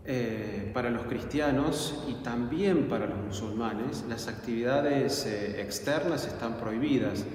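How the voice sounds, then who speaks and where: A man from Argentina, a Franciscan friar giving a thoughtful personal testimony, pausing often and correcting himself. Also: medium pitch, a little mellow. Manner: thoughtful, hesitant, many filler pauses and self-corrections. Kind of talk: personal testimony answering a question. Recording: echoey hall, distant mic, faint noise.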